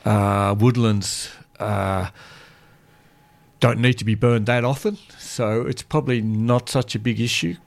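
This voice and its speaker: A man who is a guest land carer, speaking earnestly in a radio studio, halting and restarting his sentences. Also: medium pitch, gravelly. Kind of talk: radio interview talk.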